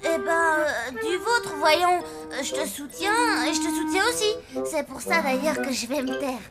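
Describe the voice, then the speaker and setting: A woman voicing a feisty, excitable young schoolchild in a cartoon. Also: high voice, unhurried, loud music behind the voice. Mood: hesitant. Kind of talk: animated series voice acting.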